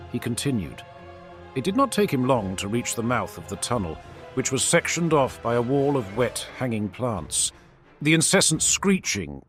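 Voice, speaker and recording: A man's voice: medium pitch, a bit bright. A man reading scripted battle fiction as a dramatic narrator, with theatrical, emphatic storytelling. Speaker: audiobook-style narrator. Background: background music.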